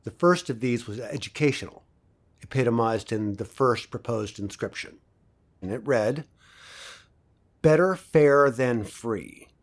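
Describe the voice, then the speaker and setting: A man playing an earnest professor in an audio drama, giving a pointed political lecture in an emphatic, deliberate, didactic way. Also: medium pitch, natural voice.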